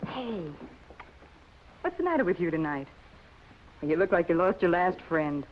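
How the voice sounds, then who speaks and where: A woman's voice: lowish voice, big pitch swings, a little slow. An actress playing a teasing, scheming young girlfriend in a 1950 film noir, brash and wisecracking. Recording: muffled, faintly noisy old film soundtrack.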